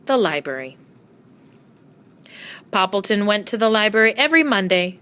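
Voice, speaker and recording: Animated woman reading a children's storybook. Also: medium pitch, moderate pace, clear, resonant tone. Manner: expressive storytelling. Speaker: storybook reader. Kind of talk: children's read-aloud narration.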